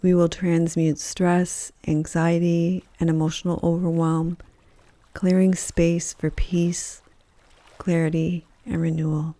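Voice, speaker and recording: Slow, mellow woman guiding meditation. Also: fairly low pitch, no echo. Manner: calm, gentle, measured, with soft pauses between phrases. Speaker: yoga nidra teacher, meditation guide. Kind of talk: guided meditation, soothing scripted narration.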